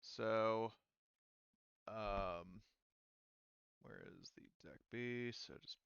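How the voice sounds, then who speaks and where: A man acting as game master for an online tabletop roleplaying session, talking haltingly and often muttering to himself as he sets things up. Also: fairly low pitch, gravelly, fairly bright tone, clean tone, no room sound, dull audio.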